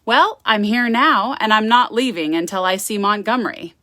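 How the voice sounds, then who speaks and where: A woman's voice: mid-range voice, animated, moderate pace, pushed and bright, dry recording, voice pushed up. Gothic audiobook role: winning, determined young man, polite but pushy, dry close sound, acted by a female reader. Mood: charming, insistent. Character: charming, cocky, determined young man from university. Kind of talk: gothic romance storybook reading.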